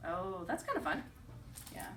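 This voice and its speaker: A woman's voice: mid-range voice, big pitch swings, normal speed, creaky. A woman teacher reading a picture book aloud to children, encouraging them with questions and repeating their answers. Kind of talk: storybook read-aloud with conversational questions to children. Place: echoey indoor room with children. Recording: echoey room, distant mic, faint noise.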